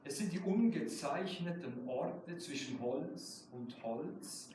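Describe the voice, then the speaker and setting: A man's voice: a little high, expressive, takes it slowly. Very echoey, distant man presenting poetry. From Switzerland. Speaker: guest poet presenting his work. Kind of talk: live poetry reading with informal remarks. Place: live event in a reverberant room. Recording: very echoey hall, far mic, noisy.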